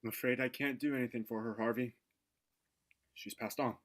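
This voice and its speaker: A student actor playing a coolly formal, evasive, sinister doctor in a vintage radio mystery. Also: male voice, medium pitch, fairly even intonation, brisk, fairly bright tone, lightly acted. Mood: grave. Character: smooth, evasive, sinister doctor under suspicion.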